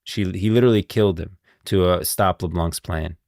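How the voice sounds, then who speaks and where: A man's voice: deep voice, brisk, gravelly, a little mellow, no echo, clean studio sound. A man giving casual live stream commentary on game lore, musing and thinking aloud.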